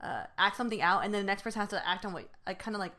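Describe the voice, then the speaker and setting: A woman speaking in casual conversation about growing up. She talks fast and fluidly, filling gaps with quick fillers, and her pitch sits in an ordinary middle range. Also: expressive, sharp tone. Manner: fast, fluent talker with many fillers. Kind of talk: casual chat.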